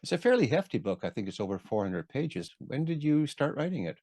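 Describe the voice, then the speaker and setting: Guy hosting an interview, gravelly voice, lots of uh's, dry close sound. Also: mid-range voice, big pitch swings, brisk, darkish tone, no room sound. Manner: hesitant, many "uh" fillers. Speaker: interview host. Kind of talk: interview questions.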